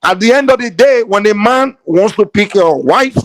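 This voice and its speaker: A man's voice: sits high, expressive, normal speed, a bit bright, no echo. A man from Nigeria with a Nigerian accent, a guest in a heated debate who keeps pressing his point over interruptions. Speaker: guest on a call-in show.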